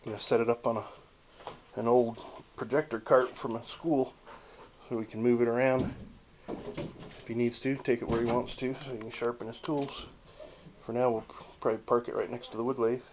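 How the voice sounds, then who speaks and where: A man giving a relaxed, folksy walk-through of a small backyard wooden workshop, filming on a handheld camera. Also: medium pitch, moderate pace, a little hiss or hum, low-quality recording. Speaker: channel host filming a shop tour. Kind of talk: casual narrated shop tour.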